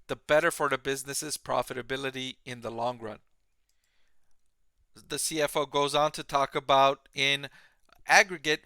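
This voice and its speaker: A man presenting explanatory financial commentary as an analyst, speaking in measured, emphatic, deliberate phrases. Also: on the high side, bright, forceful tone, smooth, no echo, studio quality. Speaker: financial analyst and video host. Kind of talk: explanatory commentary on an earnings call.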